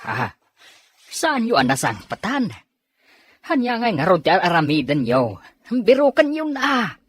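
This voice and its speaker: Radio drama father, middle-aged Filipino Ilocano, husky and animated, dry sound. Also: high-pitched, a little slow, no echo, full character voice. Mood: scolding. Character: father of quarrelling children, weary older brother.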